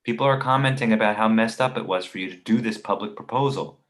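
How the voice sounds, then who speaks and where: A man's voice: fairly low pitch, quick pace, lightly acted. A man acting a blunt, slangy friend glued to his phone in a table read. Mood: alarmed.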